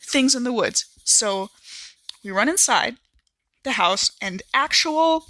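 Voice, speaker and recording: A woman who is a regular podcast player, chatting casually and telling stories in an animated, conversational way. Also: a little high, slow, no room sound, poor audio. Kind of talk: casual chat and animated in-character recap storytelling.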